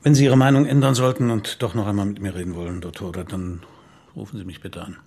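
A middle-aged police detective in an audio drama, gravelly husky voice, calm, polite, probing. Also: male voice, on the low side, quick pace. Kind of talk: radio play acting.

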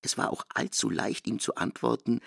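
An actor as a grave narrator, reading scripted literary narration in an audio drama. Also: male voice, medium pitch, normal speed, vocal fry, a bit bright, no room sound, studio quality. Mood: grave, measured.

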